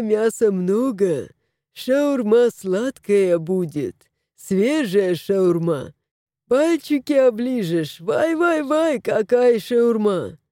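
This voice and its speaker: A woman voicing a sly old male vet who speaks slow, broken Russian. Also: sits high, mellow, dry recording, studio quality, exaggerated voice.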